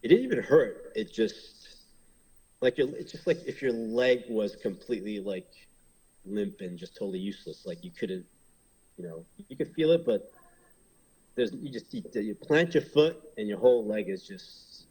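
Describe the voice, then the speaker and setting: A man's voice: lowish voice, lively intonation, moderate pace, warm tone, slightly rough, poor audio. A man in his thirties from Hong Kong, a pro wrestler, talking casually in an interview and rambling with many fillers and restarts.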